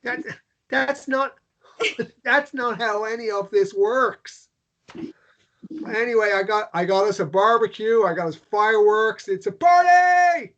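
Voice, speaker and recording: A man acting a high-voiced, open-hearted man. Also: a little slow, fairly bright tone, dull audio. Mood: exuberant, indignant. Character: warm, hesitant, exuberant American man in a romance drama. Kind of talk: table read of a romance drama.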